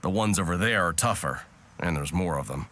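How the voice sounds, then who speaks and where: Low-voiced, blunt soldier. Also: male voice, expressive, brisk, sharp tone. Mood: matter-of-fact. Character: blunt, deep-voiced male soldier, battle-eager veteran. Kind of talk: video game voice acting.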